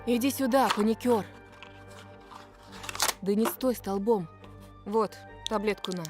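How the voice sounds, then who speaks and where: A woman's voice: medium pitch, unhurried, close to an everyday voice. Visual novel mother, played by a woman: stern, nagging, impatient, ordinary female register, prominent music behind. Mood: scolding. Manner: curt, nagging, commanding. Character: stern irritable mother scolding her young son. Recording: game audio under loud music.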